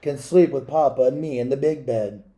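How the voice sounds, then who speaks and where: Guy doing a kind serious mom from a storybook, mellow, slow, kinda forceful. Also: mid-range voice, lightly acted. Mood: reassuring. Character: caring, grave mother in wartime storybook.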